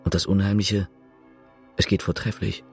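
Radio drama narrator; deep, sober, reflective and coolly ironic literary storytelling. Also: male voice, level tone, normal speed, faint music behind. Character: sober, ironic, detached male narrator of a novel.

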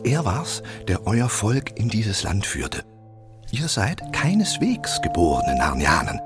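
Court tutor, lowish voice with lively swings, pedantic yet cautious, children's audio drama, music underneath. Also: male voice, slow, heavily acted. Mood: lecturing, courtly. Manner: formal, pedantic, nervously hesitant. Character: small, stout, long-bearded learned royal tutor, cautious. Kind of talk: children's radio play acting.

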